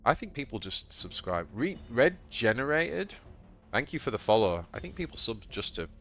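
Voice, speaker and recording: A man with a Yorkshire accent streaming a card game live, chatting to viewers and yelping with excitement at surprises. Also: normal speed. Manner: excitable, bursts into high yelps at surprises. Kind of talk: live gameplay commentary.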